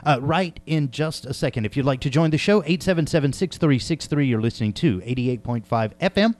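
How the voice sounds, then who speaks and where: A man with a medium-pitched voice hosts a radio interview. His style is casual and bantering, with an irreverent, joking streak as he talks with his guest. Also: animated, fairly quick, darkish tone. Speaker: radio talk show host.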